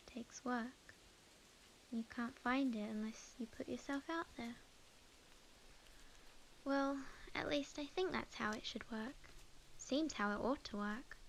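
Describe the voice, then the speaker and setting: A young witch in love, played by a woman with an Australian accent. Her voice is fairly high and softly intimate, in the manner of an ASMR romance roleplay. She speaks earnestly and tenderly, with hesitations and phrases that sink toward a whisper. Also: slight background noise, low-quality recording. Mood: wistful, musing. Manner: soft, hesitant, sometimes dropping to a whisper. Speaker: ASMR audio roleplay performer.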